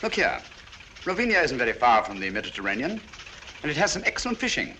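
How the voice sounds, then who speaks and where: An actor playing a brisk, commanding English gentleman detective, crisp and clipped, with a British accent in 1940s film style. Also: mid-range voice, very animated. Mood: persuasive. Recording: noisy 1940s film soundtrack.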